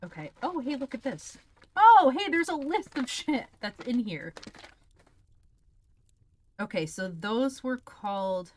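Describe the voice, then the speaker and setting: A woman's voice: on the high side, very animated, slow pace, long pauses, no room sound. A woman hosting a livestream, chatting casually as she tastes snacks, in a candid, exclamatory way with plenty of swearing. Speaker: livestream host. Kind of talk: casual chat while taste-testing snacks.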